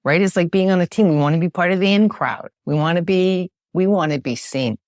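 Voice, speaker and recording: A woman with a naturally loud voice, explaining communication ideas as a guest in an emphatic, animated way, often checking in with "right?". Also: lowish voice, brisk, dry recording, studio quality. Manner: emphatic, animated, frequent tag question "right?". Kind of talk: podcast interview, explaining with examples.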